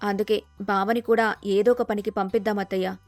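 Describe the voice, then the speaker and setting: A woman's voice: medium pitch, flat delivery, a little fast, darkish tone, no room sound, soft background music, natural voice. A woman voicing a clever young village daughter-in-law, earnest and respectful but firm, in a story. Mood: calm.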